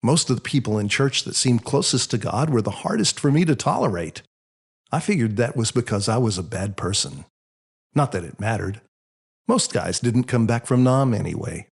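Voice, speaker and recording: Husky, expressive man narrating a story. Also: medium pitch, normal speed. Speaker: author reading his own story. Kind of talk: scripted first-person audiobook narration.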